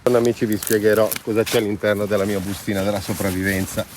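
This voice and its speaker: A man's voice: on the low side, little pitch movement, fast talker. Casting: enthusiastic male vlog host, friendly casual chat, recorded outdoors with faint ambient noise. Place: mostly outdoors in mountain valley and woods.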